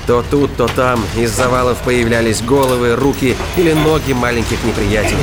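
A man reading scripted narration for a science fiction thriller audio drama. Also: medium pitch. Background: music and sound effects.

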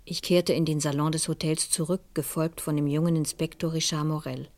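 An actress playing an experienced, inquisitive professor and amateur sleuth, pensive and breathy, in a German radio crime drama. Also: female voice, low-pitched, little pitch movement, a little fast, a little mellow, no room sound. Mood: reflective.

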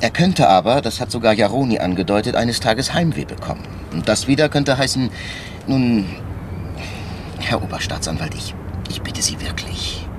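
A man's voice: mid-range voice, expressive, moderate pace, noisy background, natural voice. A male actor playing a shrewd, persistent police inspector in a radio crime drama, insinuating and mock-deferential as he argues step by step. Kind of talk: naturalistic German radio crime drama acting.

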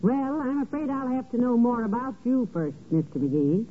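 Wry radio-comedy wife, tinny recording. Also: female voice, fairly high pitch, big pitch swings, moderate pace. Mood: playful. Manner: dry, teasing, motherly. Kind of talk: 1940s radio comedy acting. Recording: muffled, hissy old radio recording.

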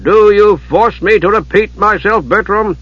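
Man voicing a stern, imperious master scholar, raised pitch. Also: unhurried, a bit bright, dry recording, highs cut off. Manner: imperious, formal, giving orders. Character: ageless, commanding master historian, stern and impatient. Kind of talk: dramatised audiobook character.

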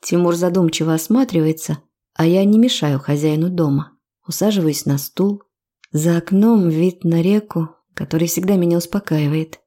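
A woman's voice: lowish voice, moderate pace, dark tone. A woman reading scripted first-person fiction as an audiobook narrator.

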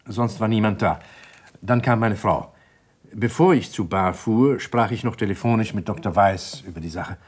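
An actor playing an uneasy, guarded doctor in a German radio crime drama, speaking haltingly and evasively. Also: male voice, fairly low pitch, husky. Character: uneasy male doctor, guarded jealous husband.

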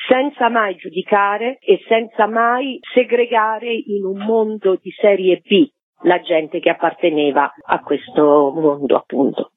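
A woman's voice: lowish voice, no echo. A woman speaking as an actress and director in an interview, articulate and reflective, explaining at length over the telephone. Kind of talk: spoken interview about a stage production. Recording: tinny phone line on radio.

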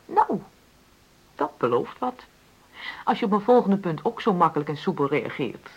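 A woman's voice: on the low side, big pitch swings, normal speed, darkish tone, slightly rough, poor audio. An actress playing a frustrated middle-aged wife in a radio play, insistently arguing for equality in her marriage. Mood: sceptical. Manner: argumentative, insistent, reasoning. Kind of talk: naturalistic Dutch radio play dialogue.

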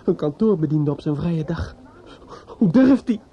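A man's voice: fairly high pitch, expressive, unhurried, warm tone. An actor playing a drunk, bitter, grieving judge's son in a radio play, stammering and repeating himself as he broods. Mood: bitter brooding. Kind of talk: radio drama acting with inner monologue. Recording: muffled, noisy old radio play recording.

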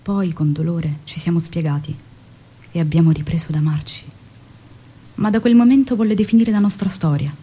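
An actress playing a revolutionary woman in love, recalling her story in a measured, confiding, emotional stage monologue. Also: lowish voice, mellow, husky. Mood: sorrowful. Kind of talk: dramatic first-person stage monologue.

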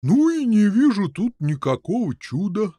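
A pompous old knight, played by a male reader, high in pitch and very animated. He blusters and grumbles his way through a storybook tale. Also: measured pace, no room sound, exaggerated voice. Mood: grumbling.

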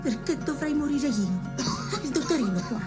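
A woman's voice: high voice, lively intonation, normal speed. An actress playing a frightened, complaining woman clinic assistant in theatrical radio drama style. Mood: fearful, complaining. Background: loud music.

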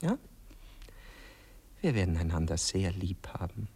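An actor playing a vain, rapturous poet wooing his lover in an Austrian accent, theatrical and breathy, in a 1960s radio play. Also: low-pitched, sing-song, unhurried, warm tone, full character voice. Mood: sentimental, tender. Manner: theatrical, breathy murmurs, swooning declamation.

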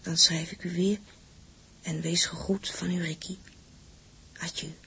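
A woman's voice: fairly low pitch, little pitch movement, a little slow, vocal fry, pushed and bright, slight background noise, full character voice. A woman playing a blunt, bossy but fond young letter writer, speaking intimately in a Dutch radio play. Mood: fond, chatty. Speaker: actress in a radio drama. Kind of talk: Dutch radio play, letter monologue in old-fashioned wording.

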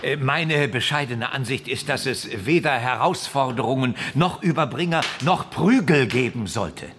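An actor playing a humble, earnest friar in a radio drama, speaking courteously and with some hesitation. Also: male voice, mid-range voice, normal speed, fairly bright tone, slight background noise, natural voice.

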